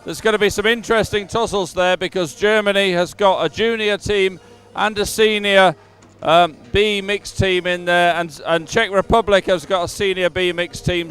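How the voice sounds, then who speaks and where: A man's voice: high voice, fairly bright tone, clear, resonant tone. A man presenting a sports event with unscripted live commentary from outdoors at the waterside. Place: outdoors, waterside at a racing venue. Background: music playing at the venue.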